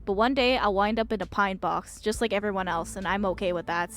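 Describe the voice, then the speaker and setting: A woman voicing a sickly, gloomy young male painter in a game, soft-spoken and resigned, speaking matter-of-factly about death. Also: medium pitch, fairly even intonation, brisk, soft background music, lightly acted. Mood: morbid, calm.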